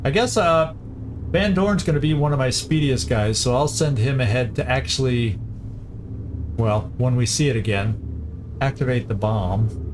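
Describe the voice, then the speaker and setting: A man's voice: mid-range voice, moderate pace, noisy background. A man commentating a strategy game, thinking aloud with hesitant restarts as he plans each move. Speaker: gameplay commentator. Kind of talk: casual let's-play commentary. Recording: game music playing behind.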